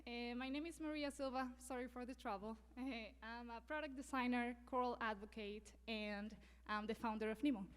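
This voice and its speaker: A woman's voice: on the high side, fairly even intonation, moderate pace, smooth, big-room sound. A woman in her thirties from Venezuela giving a conference talk, earnest, laughing often and sometimes stumbling over a word. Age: 30s. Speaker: conference speaker.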